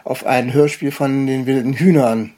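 A man's voice: mid-range voice, steady tone, moderate pace, dark tone. A middle-aged man hosting a casual interview, rambling and hesitant as he works towards his questions. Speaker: interviewer, show host. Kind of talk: casual, unscripted interview chat.

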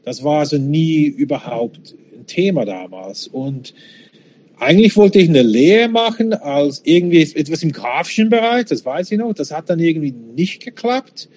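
Guy in his 50s chatting about his past, really animated pitch, fairly high voice, german isnt his first language, candid. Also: moderate pace, a little hiss or hum. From Switzerland, born in Czechoslovakia. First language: not German. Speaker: interview guest. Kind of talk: casual reminiscing conversation.

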